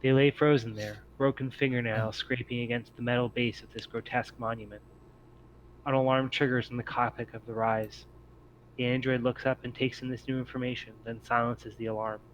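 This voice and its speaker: A man reading scripted, descriptive scene-setting narration as game master of a tabletop game, in a measured storytelling way. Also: mid-range voice, little pitch movement, muffled recording. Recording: noticeable background noise.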